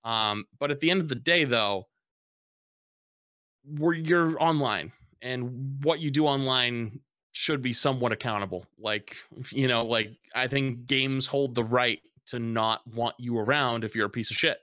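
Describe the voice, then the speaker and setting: Young man, streamer, casual panel talk, blunt, swears a lot, bright voice, dry sound. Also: mid-range voice, unhurried, no room sound.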